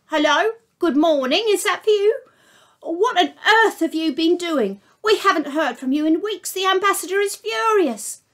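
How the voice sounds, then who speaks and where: A woman's voice: extremely high pitch, lively intonation, moderate pace, fairly bright tone, voice pushed up. A woman reading a storybook aloud, voicing a prim, officious receptionist with formal telephone manners. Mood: scolding. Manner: formal, clipped telephone politeness.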